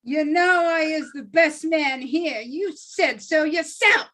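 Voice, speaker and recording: A woman's voice: sits high, takes it slowly, fairly bright tone. A woman reading the part of a cocky young male boxer who taunts in street slang, at a table read. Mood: taunting. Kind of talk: live online table read.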